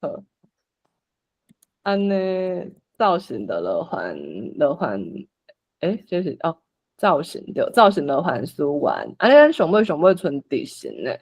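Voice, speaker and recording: A Taiwanese-accented host with a very lively, swinging pitch in a medium register. The delivery is casual and coaxing, as in an online group organizing who reads which role. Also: unhurried, breathy edge. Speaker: host assigning roles for a script read-along. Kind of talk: casual online meeting chat.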